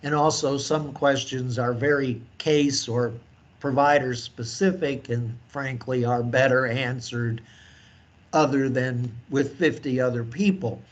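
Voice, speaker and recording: A man hosting a conference call meeting, speaking with deliberate, formal wording. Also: mid-range voice, steady tone. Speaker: meeting host. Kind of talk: semi-formal meeting moderation.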